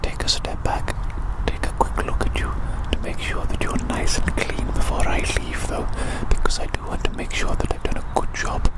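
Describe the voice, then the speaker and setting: A British ASMR host whispering a roleplay slowly and repetitively, doting on the listener, outdoors in a field.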